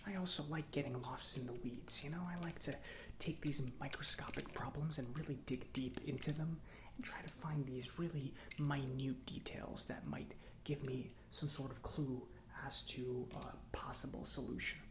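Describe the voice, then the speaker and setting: A man playing a chatty, easygoing robot mechanic in an ASMR roleplay, speaking softly in a breathy half-whisper with a rambling, conversational manner. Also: mid-range voice, fairly even intonation, normal speed, lightly acted. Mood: calm, chatty. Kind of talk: soft-spoken ASMR roleplay.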